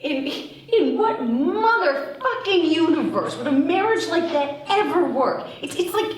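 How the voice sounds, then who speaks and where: A woman's voice: extremely high pitch, expressive, measured pace. A woman playing a tipsy, foul-mouthed, scornful young woman at a table read. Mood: shocked, scornful. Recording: very echoey room, distant mic, faint noise.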